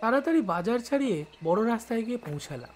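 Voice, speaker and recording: A man playing a village schoolboy who studies in the city, telling his story in expressive first-person narration. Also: extremely high pitch, fast, faint music behind. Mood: suspenseful recounting. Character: village schoolboy studying in Kolkata, recalling his life.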